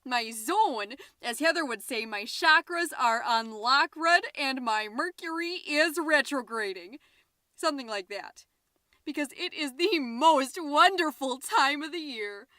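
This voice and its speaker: Woman playing a high, bright, chatty podcast mom. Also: expressive, a little slow, dry recording, clean studio sound, exaggerated voice. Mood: chirpy, self-satisfied. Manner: rambling, self-interrupting, theatrically emphatic. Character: chatty, scatterbrained, overexcited suburban mom hosting a podcast. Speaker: podcast host. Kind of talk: comedic character monologue as a homemade podcast.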